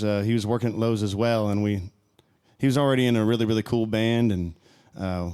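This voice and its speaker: Smooth-voiced man telling stories at ease. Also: fairly low pitch, a little mellow. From High Springs, Florida, US. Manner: easygoing storyteller with light laughs. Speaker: country musician guest. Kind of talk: casual interview chat.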